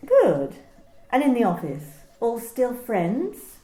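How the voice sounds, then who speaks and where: An actress playing a calm, insinuating female office manager in an amateur radio play. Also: fairly high pitch, slow pace, full character voice. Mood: cool probing. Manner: calm, insinuating, repeats questions. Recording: roomy home recording.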